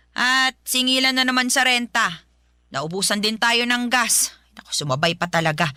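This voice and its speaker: A woman playing a harsh, belittling, nagging Filipino mother in a radio drama, with a sharp-tongued, scolding manner. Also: on the high side, dry recording, studio quality.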